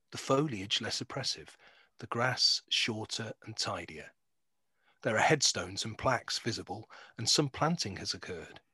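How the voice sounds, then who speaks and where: A male narrator reads scene descriptions slowly and deliberately. His voice is husky yet bright and forceful. It sets each scene plainly, line by line. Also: fairly low pitch, dry recording. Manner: deliberate, scene-setting delivery. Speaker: narrator reading stage directions. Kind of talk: scripted narration.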